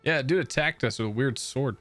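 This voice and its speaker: A man's voice: mid-range voice, brisk, music in the background. A man giving casual, slangy, laid-back reaction commentary as a gaming YouTuber.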